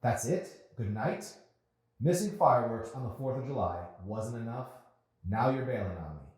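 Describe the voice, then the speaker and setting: A man's voice: mid-range voice, animated, moderate pace, a little mellow, voice pushed up, natural voice. A man voicing a teasing, pushy teenage girl while reading a novel aloud. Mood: teasing, indignant. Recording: very echoey room.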